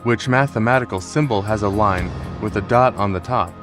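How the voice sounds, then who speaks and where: Brief: male game show host, brusque and formal, lowish voice, music clearly behind. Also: fairly quick. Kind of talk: scripted game show presenting.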